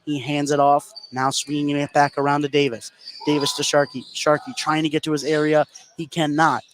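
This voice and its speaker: Higher-pitched American man calling basketball. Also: little pitch movement, moderate pace, slight background noise. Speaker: play-by-play sports announcer. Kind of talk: live basketball radio commentary.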